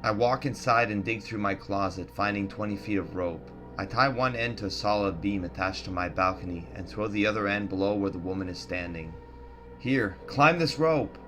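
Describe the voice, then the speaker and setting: Deep-ish guy narrating horror, tense bright delivery, dramatic, music in the background. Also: fairly even intonation, a little fast, few pauses. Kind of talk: dramatic first-person scripted narration.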